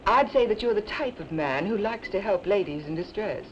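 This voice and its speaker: A scheming woman, played by an actress, coaxes a man along with sugary flattery. Her voice moves lively up and down. The sound is dull and hissy, like an old film print. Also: medium pitch, normal speed, natural voice. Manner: coaxing, sweetly flattering. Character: scheming woman posing as a sweet schoolteacher. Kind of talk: 1950s television drama acting.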